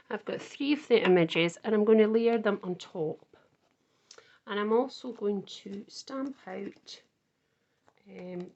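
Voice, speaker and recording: A woman with a croaky voice, casually talking through a card-making tutorial and thinking aloud as she works. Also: mid-range voice, darkish tone, muffled recording, slight background noise. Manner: chatty, thinks aloud while working.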